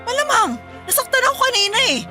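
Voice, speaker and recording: A hurt, probing wife. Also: female voice, very high-pitched, sing-song, fast, pushed and bright, soft background music, heavily acted. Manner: pleading, probing, accusing. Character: hurt wife confronting her husband. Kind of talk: Filipino radio drama acting.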